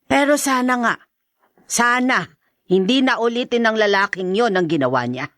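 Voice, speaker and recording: A woman's voice: a little high, expressive, normal speed, a bit bright, no room sound, clean studio sound. An actress playing a mother in a radio drama, sternly warning her daughter. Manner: stern and cautioning. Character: worried mother.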